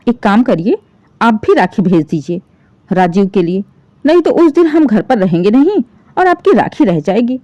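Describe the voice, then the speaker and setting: A woman's voice: mid-range voice, big pitch swings, normal speed, warm tone, dry recording, close to an everyday voice. Stern, indignant older mother-in-law, voiced by a woman. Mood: indignant, reproachful. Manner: stern, reproachful, lecturing. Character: indignant, aggrieved older mother-in-law scolding over her daughter.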